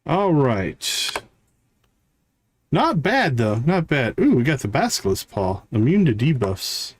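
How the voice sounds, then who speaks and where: Slow-paced, lively-pitched male game streamer. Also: a little hiss or hum. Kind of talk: casual live gameplay commentary.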